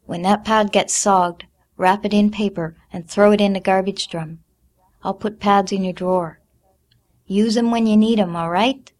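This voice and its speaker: Audiobook figure: a coarse, snapping mother of a big brood, loud and curt, read by a woman. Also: medium pitch, fairly even intonation, normal speed, close to an everyday voice. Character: blunt harsh mother of twelve, middle-aged.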